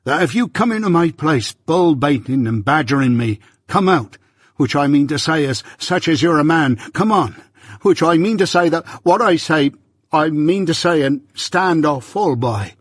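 A man voicing a plain-spoken village blacksmith in a heated outburst, his voice raised high and rustic, husky in tone. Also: expressive, normal speed, dry recording, clean studio sound. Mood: fiery.

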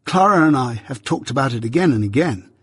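A man reading aloud, voicing an affectionate young Victorian gentleman who speaks eagerly and cordially to his friend in a raised, bright voice. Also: medium pitch, lively intonation, quick pace, husky, no echo, clean studio sound.

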